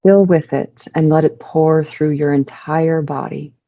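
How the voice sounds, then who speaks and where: A woman leading a guided meditation, giving soothing instructions in measured short phrases with pauses between them. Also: low-pitched, fairly even intonation, lo-fi sound.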